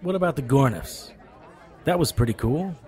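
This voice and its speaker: Guy playing a wisecracking supernatural pi, deep-ish relaxed voice, persuasive, audio drama with street noise behind. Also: moderate pace, a faint crowd, lightly acted. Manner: wry, flirtatious, persuasive. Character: wisecracking, stubborn male supernatural private investigator. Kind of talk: audio drama acting with noir internal monologue.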